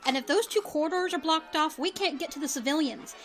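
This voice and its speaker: Woman as a high-voiced, dry field agent. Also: fast, fairly bright tone. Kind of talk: audio drama acting.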